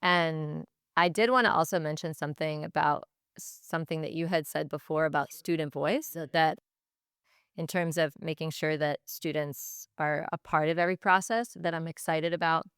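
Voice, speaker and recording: A woman with a low voice that stays clear and resonant. She talks reflectively, like an educator being interviewed, working through her thoughts in repeated, restarted phrases. The sound is close and dry. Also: steady tone, moderate pace, a bit bright, dry recording. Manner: thoughtful, stop-and-start phrasing with repetition. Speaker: teacher and language department head, podcast guest.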